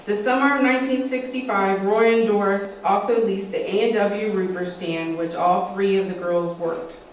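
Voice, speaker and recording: A woman's voice: mid-range voice, fairly even intonation, normal speed. Middle-aged American woman, script-reading speaker at a community history event. Very reverberant room, distant mic. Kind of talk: reading a prepared family history script aloud with occasional asides. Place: indoor meeting room with a small audience. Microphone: distant camera, speaker at a lectern. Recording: echoey room, distant mic, faint background noise.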